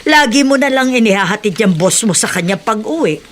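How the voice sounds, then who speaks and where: Lady playing gentle worried mom giving advice, voice goes up and down a lot, radio drama. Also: on the high side, lively intonation, fairly quick, slight background noise, close to an everyday voice. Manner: earnest, motherly advising. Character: gentle, worried, pious middle-aged or older mother. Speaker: actor. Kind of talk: Tagalog radio drama acting.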